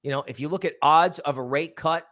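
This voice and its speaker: Emphatic high-pitched male livestream host. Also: brisk, fairly bright tone. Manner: emphatic, repeats words for stress, rambling asides. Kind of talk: casual livestream chat with viewer shout-outs and promotion.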